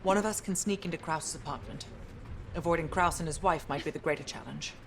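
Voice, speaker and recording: A woman's voice: low voice, a little fast. A woman playing a focused female intelligence agent in a game scene, clipped and businesslike. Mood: alert, focused. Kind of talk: video game cinematic acting. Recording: loud noise and ambience behind.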